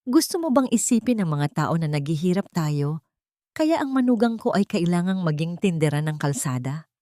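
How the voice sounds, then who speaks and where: A woman's voice: lowish voice, sing-song, moderate pace, warm tone, no room sound, clean studio sound. A woman voicing a cruel, haughty elderly matriarch in an audiobook drama, scolding and threatening in an imperious way. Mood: indignant, scornful.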